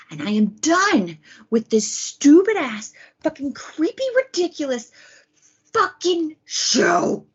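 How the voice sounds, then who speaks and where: Casting: fed-up starlet played by a woman, very husky and breathy, highish pitch, melodramatic, comedic audio play, dull recording. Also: a bit bright, heavily acted. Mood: furious. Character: fed-up, foul-mouthed young TV actress, dramatic and desperate.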